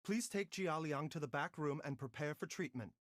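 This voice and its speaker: An actor playing a composed, reassuring young doctor in a video game, calm and courteous in his delivery. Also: on the high side, moderate pace, lightly acted.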